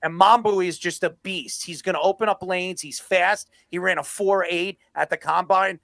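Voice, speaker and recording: A man with a high, gravelly voice hosts a sports talk show. He argues his points emphatically, circling back over his words, in a close, dry sound. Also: fairly even intonation, moderate pace, fairly bright tone, dry recording. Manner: emphatic, repeats himself. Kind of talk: animated sports debate.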